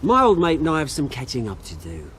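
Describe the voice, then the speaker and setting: Volatile, swooping British scrapper. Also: male voice, mid-range voice, wildly swooping pitch, fast talker, a bit bright, slight background noise. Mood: menacing, explosive. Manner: theatrical, cocky, slangy. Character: bitter, scrappy fallen rich man, childhood friend turned volatile. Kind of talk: video game voice acting.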